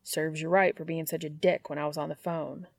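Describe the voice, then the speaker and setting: A woman voicing a sarcastic, soft-hearted older brother who swears and talks bluntly in an audiobook-style story. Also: on the high side, flat delivery, fairly quick, creaky, dry recording. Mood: mock-threatening.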